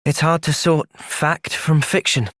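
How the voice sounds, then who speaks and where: An actor with an English accent playing an earnest, gentle young soldier in a video game cutscene, speaking in a measured, sincere way. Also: male voice, on the high side, steady tone, no echo. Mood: calm, resolute.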